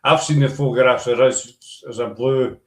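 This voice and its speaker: A man with a Glaswegian Scottish accent hosting a casual live-stream interview, chatty and relaxed with stumbling restarts. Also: mid-range voice, normal speed. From Glasgow, Scotland. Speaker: host. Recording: roomy echo.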